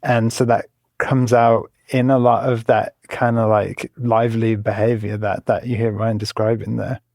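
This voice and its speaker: A man's voice: lowish voice, little pitch movement, normal speed, gravelly, darkish tone, no room sound, studio quality. A man in the role of a reporter, explaining a story in an informal, conversational way. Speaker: reporter being interviewed.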